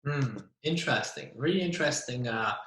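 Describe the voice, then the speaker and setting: A male podcast host with a bright, pointed voice. He asks long, eager questions that wander before they land. His audio carries a noticeable room echo. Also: mid-range voice, expressive, brisk. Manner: enthusiastic, rambling questions. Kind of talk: interview hosting.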